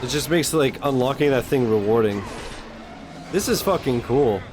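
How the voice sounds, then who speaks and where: A man's voice: medium pitch, expressive, moderate pace, noisy background. A man chatting casually as a streamer reacting to a game, blunt, slangy and freely swearing. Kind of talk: casual live-stream chat. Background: game music.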